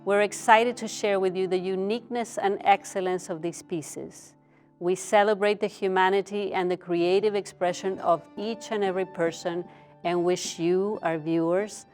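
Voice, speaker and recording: A woman's voice: lowish voice, steady tone, a little slow, a little mellow, faint music behind. A woman giving a prepared spoken introduction as a theater's artistic director.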